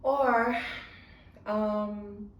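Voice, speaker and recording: A woman's voice: mid-range voice, fairly even intonation, drawn-out words. A woman with a Southern American accent hosting a casual conversation, talking emphatically and often checking for agreement. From United States. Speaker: podcast host. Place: room in Dubai. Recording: echoey room, distant mic, faint noise.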